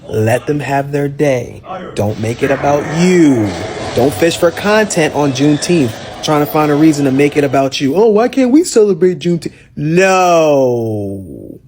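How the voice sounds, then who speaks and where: A man's voice: fairly high pitch, lively intonation, measured pace, background noise. A man giving an emphatic opinion monologue in short, repeated phrases. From Haitian background.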